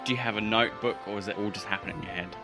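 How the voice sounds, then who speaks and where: A man's voice: lowish voice, steady tone, rapid-fire, over music. A man speaking casually as game master, improvising narration and rulings for a tabletop roleplaying game.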